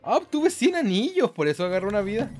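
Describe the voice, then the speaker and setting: A young man hosts a gameplay video with casual, sweary commentary. His voice is fairly high and jumps excitably in pitch. Some game music runs underneath. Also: fast. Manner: excitable, foul-mouthed.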